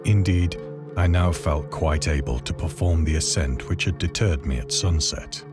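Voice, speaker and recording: A man playing a haunted castaway, narrating a horror tale in a measured, solemn, literary manner for a game. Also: extremely low pitch. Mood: uneasy, solemn. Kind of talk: scripted dramatic narration of a horror story. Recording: loud music under the voice. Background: loud music.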